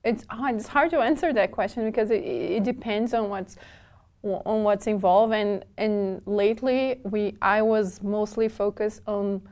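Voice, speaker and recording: A woman's voice: mid-range voice, unhurried, darkish tone. A woman, a guest in a casual interview who often restarts her sentences and laughs easily. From southern Brazil. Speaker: interview guest, documentation developer.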